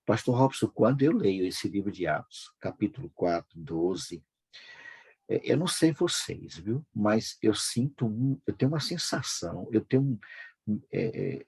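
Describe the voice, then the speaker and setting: A Brazilian man hosting a devotional broadcast, speaking informally and fervently with a husky voice, repeating phrases for emphasis. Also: fairly low pitch, mellow. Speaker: host of a devotional prayer broadcast.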